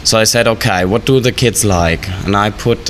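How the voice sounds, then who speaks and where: Chatty low-voiced guy who runs hotel entertainment, hotel bar murmur behind him. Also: a little hiss or hum. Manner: chatty, easygoing, quick to laugh. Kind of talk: interview answers. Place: hot humid hotel bar.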